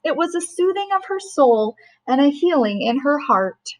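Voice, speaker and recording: Woman, middle-aged, high-pitched, reads rhyming picture book gently and rhythmically. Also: very animated, moderate pace, rough recording. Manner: gentle, sing-song rhyming reading. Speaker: teacher reading a bedtime story. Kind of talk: storybook read-aloud.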